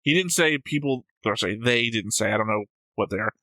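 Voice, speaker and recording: A man's voice: on the low side, lively intonation, normal speed, bright, forceful tone, dry recording. A man chatting casually as a reaction show co-host, rambling through his points and arguing them out. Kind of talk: casual discussion of an anime.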